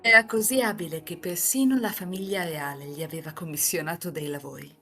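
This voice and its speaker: A woman's voice: deep voice, fairly quick, pushed and bright. A woman reading scripted narration for a live visual novel reading. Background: music.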